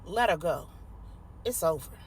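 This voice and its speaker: A woman storyteller playing a stern, protective woman who speaks slowly and pointedly in a dramatic story. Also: on the low side, lively intonation, a little hiss or hum. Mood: cold warning. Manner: slow, pointed, deliberate. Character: stern, protective woman confronting an abusive husband.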